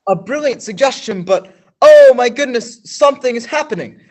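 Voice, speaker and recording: A man's voice: very high voice, lively intonation, normal speed. A man playing a flustered news reporter in a stage radio play, stammering through formal newsreader phrasing.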